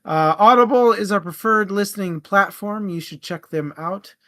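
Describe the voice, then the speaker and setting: A man's voice: high-pitched, moderate pace, fairly bright tone. A man co-hosting a book discussion show, chatting casually in an informal, jokey and sometimes profane way. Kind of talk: casual book chat with plugs.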